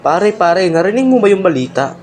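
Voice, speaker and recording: An excitable neighbourhood gossip, played by a man, chats in a homemade radio drama. His voice is fairly high for a man. There is light outdoor noise around him. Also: a little fast. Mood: excited. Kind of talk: amateur radio drama acting.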